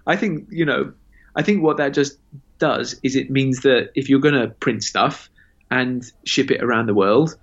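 A man's voice: mid-range voice, moderate pace. Chatty man on slightly dull audio. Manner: chatty, self-deprecating, fillers like "you know" and "kind of". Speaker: magazine publisher guest. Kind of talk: casual interview answers.

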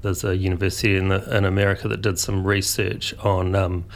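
A man's voice: sits low, level tone, a little fast. A man, a guest in a radio interview, talking in a rambling, thoughtful way with lots of restarts. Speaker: guest, food photographer and publisher.

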